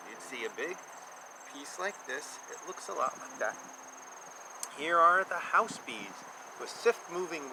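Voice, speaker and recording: Guy in his 50s reading a kids book outside, very up and down voice, repeats stuff simply, noisy bugs in background. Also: on the high side. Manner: simple, repetitive, emphatic explaining to young children. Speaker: children's book reader. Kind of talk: read-aloud storytelling with explanatory asides. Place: outdoor backyard beside a beehive. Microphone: camera at about arm's length. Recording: outdoor noise with insect chirps.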